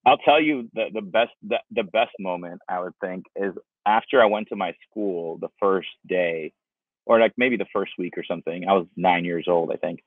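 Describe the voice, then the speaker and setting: A man's voice: low voice, moderate pace, no room sound. A man in his forties, born in Russia, answering interview questions in a rambling way full of restarts, speaking over a video call from an outdoor patio. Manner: rambling, with many restarts and fillers. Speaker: company founder, guest. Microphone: video call, wireless earbuds. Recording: dry, thin phone-like video call audio.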